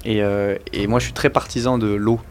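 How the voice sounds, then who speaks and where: A young man with a lowish voice chats loosely about his songs in an interview. He keeps restarting and fills gaps with slang. A faint hum of room ambience sits under him. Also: steady tone, a little fast. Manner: rambling, slangy, full of fillers and restarts. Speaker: musician guest. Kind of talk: casual interview chat.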